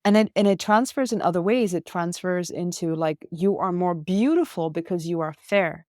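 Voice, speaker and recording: Reflective Indian-raised woman, low and dry. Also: moderate pace, a little mellow, no room sound. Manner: reflective, emphatic, lists ideas in a row. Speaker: guest. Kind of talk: conversational interview. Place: hostel room.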